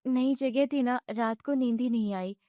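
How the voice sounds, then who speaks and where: A woman's voice: on the high side, a little slow, mellow, no echo, studio quality, voice pushed up, natural voice. A woman voicing an earnest young daughter-in-law who talks plaintively in a family story. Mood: eager. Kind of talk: Hindi family story acting.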